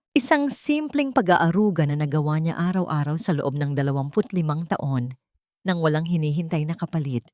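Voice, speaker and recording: Low-pitched Filipino woman narrating drama. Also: a little mellow, no echo, clean studio sound. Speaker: audiobook narrator. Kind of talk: dramatic scripted story narration.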